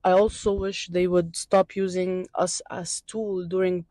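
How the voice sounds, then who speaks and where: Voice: medium pitch, little pitch movement, unhurried, mellow. A student from Syria answering interview questions on a campus outdoors, speaking hesitantly and searching for words.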